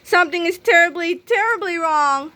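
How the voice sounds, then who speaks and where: A woman voicing an anxious, soft-hearted schoolboy, hesitant and pleading, in a children's storybook read-aloud. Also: very high-pitched, moderate pace, pitched above the performer's own voice. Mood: alarmed.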